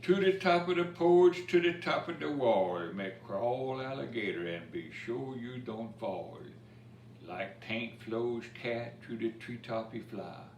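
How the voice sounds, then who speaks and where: Man, unhurried, expressive story time reader, Cajun dialect verse, roomy distant recording. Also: medium pitch. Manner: expressive storytelling, pausing to point out pictures. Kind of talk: read-aloud picture book in Cajun dialect verse with asides. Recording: roomy, distant mic with faint noise.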